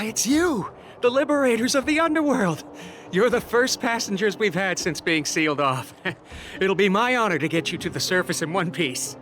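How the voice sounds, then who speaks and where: Excitable, high-pitched cable car operator. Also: male voice. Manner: theatrical, grand, eager. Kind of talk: video game voice acting.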